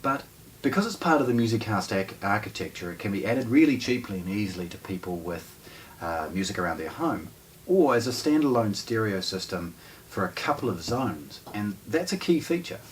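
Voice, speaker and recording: A man in his forties with a New Zealand accent giving an informal, explanatory unboxing demonstration, pausing mid-sentence as he goes. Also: fairly low pitch, very animated. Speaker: product presenter for a hi-fi shop. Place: indoor room with shelves of discs and a wooden table. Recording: distant mic, noticeable background noise.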